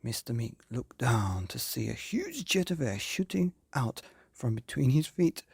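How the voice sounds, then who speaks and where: A man tells a children's bedtime story as a podcast host. His voice is husky and swings widely in pitch, theatrical with drawn-out words and hushed phrases. The sound is close and dry. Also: very animated, no room sound.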